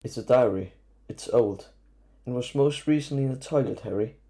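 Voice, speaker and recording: A man plays a wry schoolboy sidekick in an audio drama. His voice is gravelly and mellow, and he sounds blunt and incredulous. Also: mid-range voice, normal speed, natural voice. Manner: sarcastic, incredulous.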